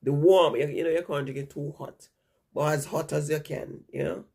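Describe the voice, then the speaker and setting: A woman vlogger with a cold talks to her viewers in a rambling, chatty way and keeps repeating herself. Her voice is husky and gravelly, and her nose sounds stuffy. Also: sits low, steady tone, normal speed, dark tone. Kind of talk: casual chat to viewers.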